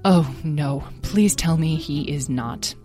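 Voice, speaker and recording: A woman's voice: low-pitched, takes it slowly, dark tone, faint music behind. A woman voicing a huge, stern mother dragon in a children's story, scolding with exasperated firmness. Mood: weary, exasperated.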